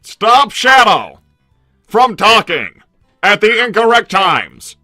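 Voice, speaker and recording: A man's voice: high voice, sing-song, slow, bright, forceful tone, no room sound, studio quality, faint music behind, heavily acted. A man voicing a stubborn combat robot with stilted, emphatic declarations, in improvised cartoon roleplay. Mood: emphatic, insistent. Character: blunt, stubborn combat robot, speaks in labelled statements.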